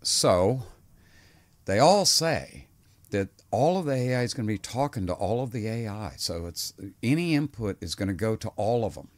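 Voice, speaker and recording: A man's voice: fairly low pitch, lively intonation, normal speed, no room sound. An older man talking as a podcast guest in a rambling, earnest way, often restarting his sentences. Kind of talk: casual conversation.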